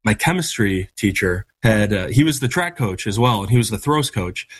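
An earnest American man in his thirties, his voice slightly husky and low-pitched. He answers interview questions reflectively and conversationally, with the odd restart as he thinks. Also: animated, normal speed. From United States (Michigan). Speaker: interview guest, athlete.

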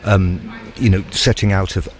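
A man's voice: low voice, fairly even intonation, normal speed. A male reporter answering the host on a radio programme, thoughtful and filling with "you know". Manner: thoughtful, many fillers. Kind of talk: radio interview.

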